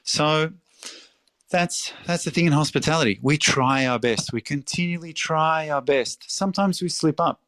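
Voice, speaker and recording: A man's voice: mid-range voice, fairly bright tone, dry recording. Passionate Australian man, dry sound. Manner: passionate, emphatic, swears freely. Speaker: restaurateur guest. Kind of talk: casual interview talk.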